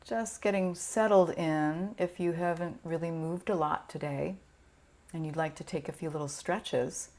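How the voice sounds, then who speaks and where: A woman teaching yoga nidra, guiding a relaxation meditation in a gentle, soothing, unhurried way with pauses. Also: deep voice, fairly even intonation.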